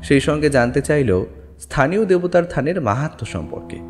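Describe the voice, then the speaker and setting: A man's voice: on the high side, very animated, a little fast. A man narrating a scripted ghost story dramatically as an audiobook reader. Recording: long reverb, music underneath. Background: background music.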